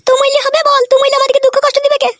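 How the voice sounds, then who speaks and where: A woman playing a jealous, quarrelsome village wife in a comic cartoon, squeaky and shrill, with a nagging, sarcastic way of talking. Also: monotone, very fast, a bit bright, dry recording, clean studio sound. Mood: bitter complaint.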